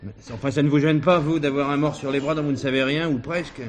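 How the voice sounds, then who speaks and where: An actor playing a methodical, sceptical police commissioner in a 1970s French drama, speaking in a measured, polite, probing manner. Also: male voice, on the high side, fairly even intonation, lightly acted. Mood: firm, thoughtful. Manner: measured, questioning, courteous.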